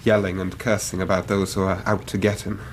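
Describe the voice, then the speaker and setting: An earnest, worried young Victorian Englishman in a radio drama. His voice sits on the low side and his speech is formal and precise, with faint noise behind it. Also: little pitch movement, fairly quick. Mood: earnest, grave. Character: anxious, earnest Victorian young man, grieving son. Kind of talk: radio drama acting, narrating his own story.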